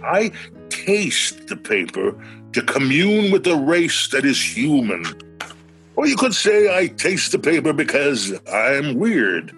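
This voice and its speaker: Actor as a whimsical, eccentric man, unhurried and animated. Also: fairly high pitch, soft background music, heavily acted. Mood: musing, grand. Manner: whimsical, musing, unbothered. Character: eccentric, philosophical man with a cold, cheerfully weird. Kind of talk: radio play acting. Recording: home-recorded virtual radio play.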